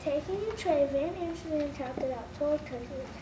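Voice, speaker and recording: A young child with a high voice narrates a scripted story in a halting read. The sound is echoey and distant, with clear background noise. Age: child, about eight or nine. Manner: halting reading. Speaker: narrator in a school readers' theater. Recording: echoey, noisy room from afar.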